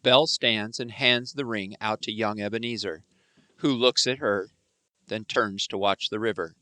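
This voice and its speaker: Bright, forceful male narrator. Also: lowish voice, normal speed. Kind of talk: scripted radio play narration.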